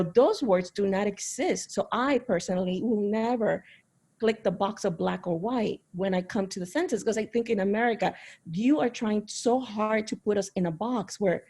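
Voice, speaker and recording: A woman's voice: mid-range voice, warm tone, husky. A woman speaking earnestly in a panel discussion over a video call, reflecting on her own experience. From Caribbean, raised in Miami. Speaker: panel participant. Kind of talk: discussion remarks.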